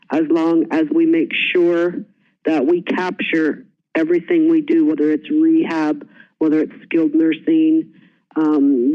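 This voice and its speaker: A woman panelist giving unscripted practical advice in a plainspoken, explanatory way. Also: low-pitched. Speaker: nursing home panelist. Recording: dull, band-limited conference call audio.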